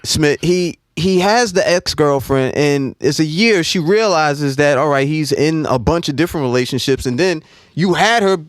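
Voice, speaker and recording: A laid-back male radio host chats loosely with his guest, teasing and swearing casually. His voice is slightly high and sounds close and dry, with no room around it. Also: normal speed, no echo. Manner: laid-back, hyped, profane, teasing questions.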